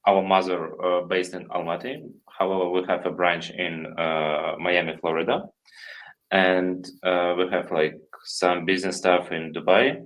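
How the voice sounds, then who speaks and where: A young man from Kazakhstan with a very deep voice answers interview questions over a video call. His is halting and full of fillers. He laughs easily between answers. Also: little pitch movement, a bit bright, highs cut off. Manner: halting non-native English with many fillers, laughs easily. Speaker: guest. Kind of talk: online interview.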